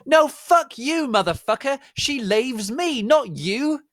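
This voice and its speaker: A man voicing jealous teenage wizard boys, shouting hysterically in a comic dramatic reading. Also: animated, no echo, studio quality, exaggerated voice. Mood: furious, shouting. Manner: shrill, hysterical, drawn-out shouting.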